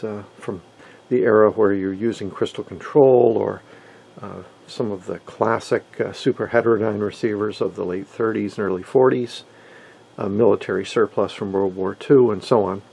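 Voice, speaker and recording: A man giving a casual, halting, conversational explainer as an enthusiastic radio hobbyist. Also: lowish voice, steady tone, vocal fry, a little mellow, breathy edge. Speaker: amateur radio hobbyist presenter.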